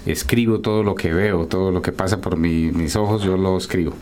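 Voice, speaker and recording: A middle-aged Colombian man answering as a guest in a radio interview, halting and correcting himself as he talks. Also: deep voice, steady tone, darkish tone. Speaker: guest, writer and journalist.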